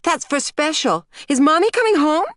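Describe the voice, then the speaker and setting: A woman's voice: high-pitched, animated, normal speed, fairly bright tone, dry recording, clean studio sound, pitched above the performer's own voice. A woman voicing a stubborn, whiny preschool-age girl in an audiobook, with a pouty, childish, insistent way of talking. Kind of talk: audiobook character voice.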